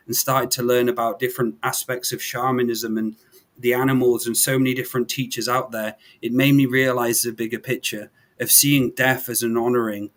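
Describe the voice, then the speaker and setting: A man with a mid-range voice hosts a spiritual talk show. He speaks in a conversational, reflective style. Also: mid-range voice. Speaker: show host.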